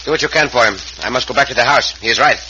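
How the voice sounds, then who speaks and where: An actor playing a gallant, commanding Mexican cowboy hero in an old radio western, with a Mexican accent and formal phrasing. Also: male voice, mid-range voice, sharp tone. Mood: reassuring. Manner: formal, deliberate word choice without contractions. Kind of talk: 1950s western radio acting. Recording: muffled, hissy old radio recording.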